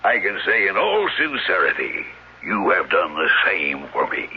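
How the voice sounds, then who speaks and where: An actor playing a jaunty old bon vivant with a raspy voice, glib and theatrical, in an old-time radio drama. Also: male voice, low-pitched, big pitch swings, normal speed, exaggerated voice. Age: older. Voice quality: raspy, husky. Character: jaunty old drifter, quipping, wine-loving bon vivant. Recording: dull, band-limited old radio recording.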